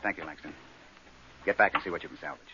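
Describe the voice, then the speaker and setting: An actor playing a commanding, curt spaceship captain in an old science fiction radio drama, speaking in a clipped, authoritative way. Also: male voice, rumbling low, quick pace. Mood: grim, businesslike. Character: hard-pressed, commanding male spaceship captain, curt and gruff. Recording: dull old radio recording, faint noise.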